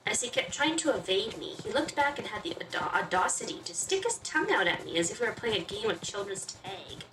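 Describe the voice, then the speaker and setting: A woman's voice: mid-range voice, a bit bright. A chatty, reactive woman reading a game's story aloud and commenting as she plays. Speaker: game player reading aloud. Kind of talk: let's play commentary with expressive reading of story text. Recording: roomy, distant, music behind. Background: game music.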